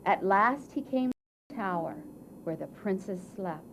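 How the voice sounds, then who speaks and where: A woman narrating a children's fairy tale at a live puppet show, with dramatic, drawn-out phrasing that swells and hushes for emphasis. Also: medium pitch, expressive. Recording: dull, noisy live event recording.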